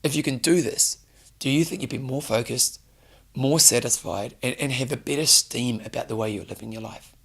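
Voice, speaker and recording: Hoarse New man coaching. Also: mid-range voice, normal speed, fairly bright tone. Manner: earnest, coaching, direct questions to listener. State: hoarse, losing his voice. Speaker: fitness show host. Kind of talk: solo motivational talk to camera.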